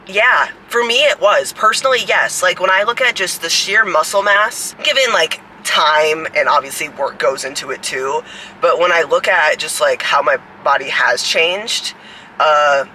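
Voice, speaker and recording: A woman in her mid-twenties with a deep, raspy voice, rambling casually to a phone camera outdoors, full of "like" fillers and swearing. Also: expressive, a little slow, bright, forceful tone, slight background noise, rough recording. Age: 20s. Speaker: fitness coach and creator. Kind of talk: casual vlog talk to camera.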